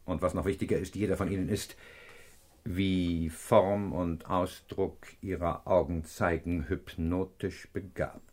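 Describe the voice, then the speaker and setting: An actor playing a pompous, self-assured professor detective in a radio crime play, lecturing pedantically with theatrical flourish. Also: male voice, deep voice, flat delivery, unhurried, a little mellow. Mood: accusing.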